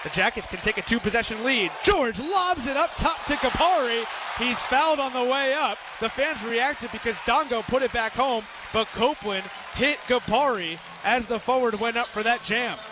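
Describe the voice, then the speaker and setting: American male play-by-play commentator, high voice, projecting over a noisy arena crowd. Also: normal speed. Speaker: radio play-by-play basketball commentator. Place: courtside in an indoor basketball arena. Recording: loud arena crowd noise, bouncing balls. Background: crowd, ball bounces.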